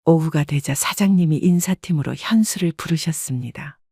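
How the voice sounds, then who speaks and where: A woman reading a scripted first-person story as an audiobook narrator, in a measured, polite storytelling manner. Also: deep voice, mellow, slightly rough, no room sound, clean studio sound.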